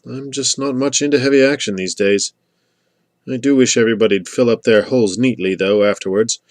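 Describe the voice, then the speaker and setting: A man's voice: mid-range voice, a little fast, dry recording, clean studio sound, lightly acted. A man voicing an old male guard dog in an audiobook, weary, plain-spoken and mildly grumbling.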